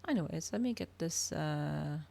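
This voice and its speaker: A woman's voice: deep voice, lively intonation, moderate pace, gravelly, warm tone, slight background noise. A woman livestreamer chatting casually to her viewers, rambling, trailing off and thinking aloud. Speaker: livestreamer hosting an ASMR and gaming channel.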